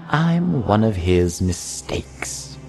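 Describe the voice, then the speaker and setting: A man playing a menacing, mocking villain in an audio drama, gravelly and taunting, slipping into whispers. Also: on the low side, very animated, full character voice. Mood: taunting menace. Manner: slow, taunting, theatrical. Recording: loud music under the voice.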